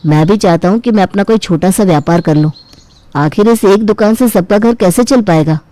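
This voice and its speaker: A woman's voice: medium pitch, a little fast, warm tone, no echo, lightly acted. A woman voicing a self-interested younger brother who argues and complains in a cartoon family story. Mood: demanding. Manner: argumentative, complaining. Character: self-interested younger brother demanding his inheritance share. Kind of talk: acted dialogue in a Hindi cartoon family story.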